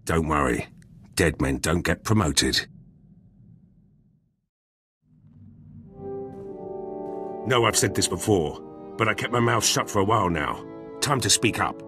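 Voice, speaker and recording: An actor playing a blunt, battle-hungry special forces soldier in a video game, gruff and husky, speaking in clipped, terse sentences. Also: male voice, low voice. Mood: blunt, disgruntled. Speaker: soldier companion character. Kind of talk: video game dialogue acting. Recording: music under the voice.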